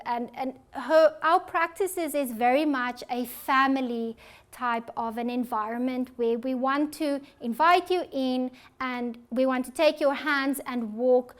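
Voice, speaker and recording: A woman with a South African accent, an audiologist giving a health talk and answering audience questions in an earnest, reassuring way. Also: high voice. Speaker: audiologist presenter.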